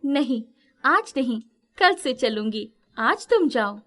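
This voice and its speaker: A woman acts out a young storybook fairy in the guise of a girl. Her voice is very high and lifted, with an eager, friendly, questioning manner and measured pace. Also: animated, a bit bright, heavily acted. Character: curious young female fairy disguised as a girl.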